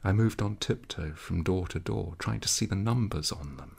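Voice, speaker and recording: A man reading a literary story aloud in a measured, dramatic way, with a gravelly, husky voice. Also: low voice, darkish tone. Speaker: audiobook reader.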